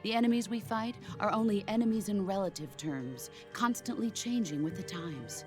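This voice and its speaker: An actress playing a calm, commanding veteran female soldier in a video game, speaking in a measured, solemn, instructive way. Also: mid-range voice, close to an everyday voice. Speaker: military mentor over radio. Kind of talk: dramatic video game voice acting. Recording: music behind.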